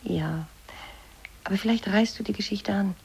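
An actress in a radio drama playing a cool, persistent interviewer with a soft husky voice and terse manner. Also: female voice, medium pitch, moderate pace, poor audio. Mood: persuasive. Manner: terse, probing. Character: probing, persistent female media interviewer, cool and pragmatic. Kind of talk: 1980s German radio drama acting.

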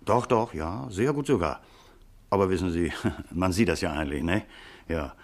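An actor playing an eager, hesitant taxi driver witness in a 1950s radio crime drama, chatty and hemming. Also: male voice, low voice. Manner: halting, hemming, chatty, small nervous chuckles.

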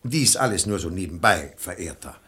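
An actor playing a reasonable, ironic middle-aged brother-in-law in a German verse radio drama, eloquent and argumentative. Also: male voice, low-pitched, animated, moderate pace, sharp tone. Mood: indignant. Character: sensible, ironic brother-in-law reasoning against false piety.

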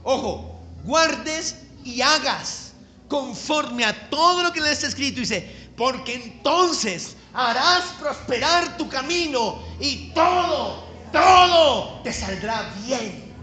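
Pastor from Colombia's Caribbean coast, very high ringing voice, emphatic sermon delivery in an echoey church hall. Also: male voice, lively intonation, bright, forceful tone. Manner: emphatic, slows down to stress key phrases, calls for congregation response.

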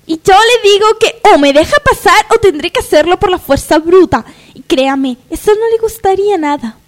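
Young radio-soap heroine , played by a woman: extremely high voice, emotional, determined, melodramatic. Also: animated, normal speed. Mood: defiant. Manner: emotional, insistent.

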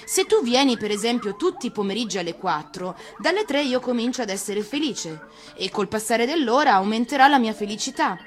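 Gentle she-fox acted by a woman, earnest, patient, emphatic storybook delivery, music behind. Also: on the high side, expressive, fairly quick, voice pushed up, lightly acted. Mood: eager, happy.

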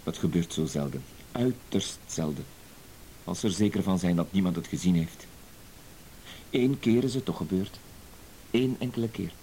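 An actor playing a cool, self-assured professional blackmailer in a radio drama, terse and matter-of-fact. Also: male voice, low voice, lively intonation, normal speed, long pauses, dark tone, poor audio. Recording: hissy old radio play recording.

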